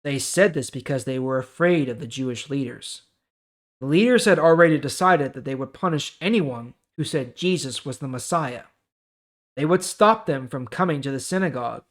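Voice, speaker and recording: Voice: medium pitch, moderate pace. Informal host reading and explaining scripture. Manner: informal, explanatory, checks in with listeners. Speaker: host of a Bible reading series. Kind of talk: Bible read-aloud with casual commentary.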